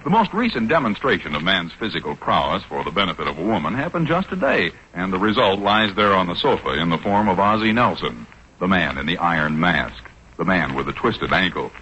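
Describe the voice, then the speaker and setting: Deep, bright, mock-grand announcer. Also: male voice, sing-song, fairly quick, full character voice. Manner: declamatory, formal. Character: mock-grand announcer narrating comic history. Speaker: announcer narrator. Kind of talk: 1940s radio comedy narration. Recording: dull, noisy 1940s radio recording.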